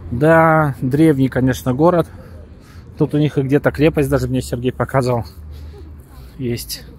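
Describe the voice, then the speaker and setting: A man's voice: medium pitch, moderate pace. Man on a street walk, tired, talking casually, audible city noise around him. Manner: relaxed, slightly weary, searching for words. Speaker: vlogger. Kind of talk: casual walking chat. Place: evening pedestrian street outdoors.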